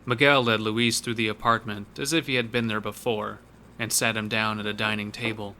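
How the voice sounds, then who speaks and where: A man's voice: on the low side, flat delivery, fairly quick, pushed and bright, a little hiss or hum. A man narrating a scripted horror story as the narrator of an audio drama.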